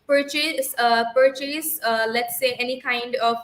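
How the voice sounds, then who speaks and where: A woman's voice: sits high, steady tone, a little slow, reverberant. A young woman from Bangladesh answering practice questions in a speaking exam, fluent but with small self-corrections. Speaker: IELTS practice candidate. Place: livestream.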